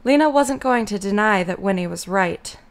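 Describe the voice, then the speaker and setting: Mid-pitched woman narrating a story. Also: lively intonation, normal speed.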